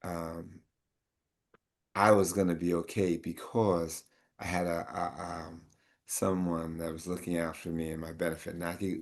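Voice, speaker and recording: A man with a deep, low voice tells his own story at a community panel and then hands off to his guests. He talks haltingly, with many pauses, fillers and restarts. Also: gravelly, darkish tone. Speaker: panel moderator. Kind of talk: personal testimony then moderating a panel.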